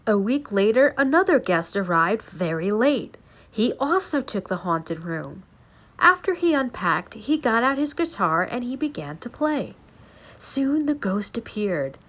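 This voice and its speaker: A woman reading spooky folk tales aloud as a story time reader, with dramatic, deliberate delivery. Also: a little high, big pitch swings, fairly bright tone.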